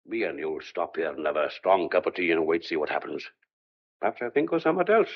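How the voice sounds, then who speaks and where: Guy doing a gruff police sergeant in a radio thriller, raspy, really up and down, blunt but kindly, muffled recording. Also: low-pitched, lively intonation, fast, full character voice. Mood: placating, weary. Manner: colloquial, blunt, coaxing. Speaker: actor in an audio drama. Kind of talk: old-fashioned thriller audio drama acting.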